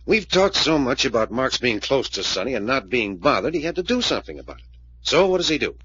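An actor playing a shrewd, brisk detective in a 1940s radio drama, probing and theorizing in clipped phrases. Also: male voice, medium pitch, very animated, fairly bright tone, slightly rough, dry recording, exaggerated voice. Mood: reasoning. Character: brisk, shrewd male detective, leading the case. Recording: muffled old radio recording.

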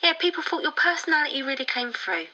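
An upbeat, supportive publicist, very bright and animated, with an encouraging, polished manner. The sound is slightly muffled and narrow. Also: female voice, sits high, quick pace. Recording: dull, band-limited sound.

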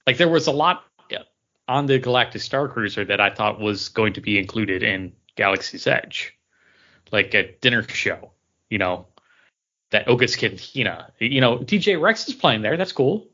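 Male podcast co-host, lowish bright voice, halting conversational delivery, slightly dull recording. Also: lively intonation. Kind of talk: casual chat about theme park attractions.

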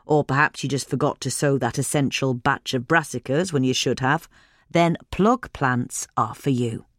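A woman's voice: low-pitched, no room sound, studio quality. A British woman reading a scripted magazine article as a narrator.

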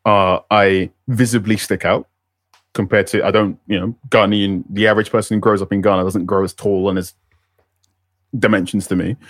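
A man's voice: on the low side, little pitch movement, normal speed, no echo, studio quality. A man, chatting on a podcast panel and thinking aloud, with frequent restarts. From London. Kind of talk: casual discussion.

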